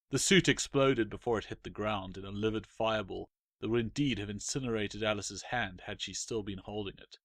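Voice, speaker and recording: A man's voice: on the low side, fairly bright tone, dry recording. A man reading his own short story aloud as a dramatic, scripted narration.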